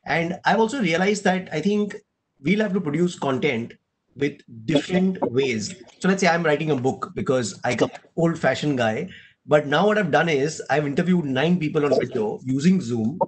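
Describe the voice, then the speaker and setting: A middle-aged man moderating a panel on a video call, animated and often adding "right?". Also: fairly high pitch, moderate pace, a little hiss or hum, poor audio. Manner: animated, gesturing, tag question "right?". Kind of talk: casual panel discussion. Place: room at home with blinds and shelves. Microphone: video call webcam.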